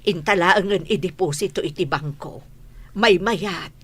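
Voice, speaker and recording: A woman's voice: lowish voice, a little slow, breathy edge. A woman playing an astonished, protective village mother in a radio drama, with an Ilocano accent. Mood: pleading.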